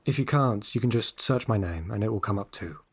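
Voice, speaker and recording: Calm, slightly husky sci-fi guide. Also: male voice, lowish voice, a little fast. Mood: gently persuasive. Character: calm, assured, persuasive male guide in sci-fi city. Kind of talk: full-cast audio drama acting.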